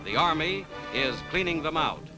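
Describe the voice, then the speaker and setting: A man with an American accent delivers dramatic 1940s newsreel narration. His voice sits a little high and moves animatedly in pitch, with loud music playing behind him. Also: expressive, moderate pace. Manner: declamatory, dramatic.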